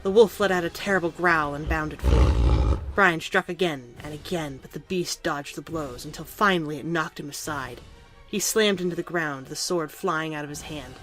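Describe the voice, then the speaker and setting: Female audio drama narrator, slightly low voice, scripted storytelling with faint music underneath. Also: very animated, normal speed, a bit bright, rough recording.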